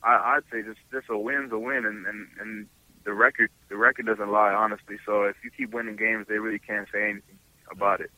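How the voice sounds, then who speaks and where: A young man in his early twenties answers interview questions as a college athlete. His voice is fairly low and strikingly bright and forceful, recorded close and dry. He talks casually and haltingly, doubling back on words as he goes. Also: flat delivery, dry recording, rough recording. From Toronto, Canada. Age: early 20s. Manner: halting, repeats words and restarts often. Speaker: college football player being interviewed.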